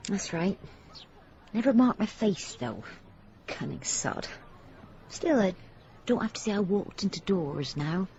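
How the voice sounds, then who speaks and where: Plain-spoken working-class wife: mellow dark tone, wry, colloquial, slightly muffled sound. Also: female voice, medium pitch, animated, a little slow, long pauses. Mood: bitterly wry. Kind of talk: radio drama acting. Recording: dull sound with faint background noise.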